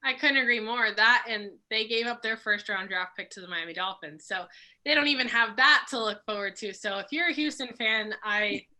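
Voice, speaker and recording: A young woman with a very bright, sharp tone co-hosts a casual sports chat. She sounds a little raspy from a cold and talks conversationally, tossing questions to her guests. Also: fairly high pitch, moderate pace. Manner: conversational, asks questions, trails off quietly. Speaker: podcast co-host.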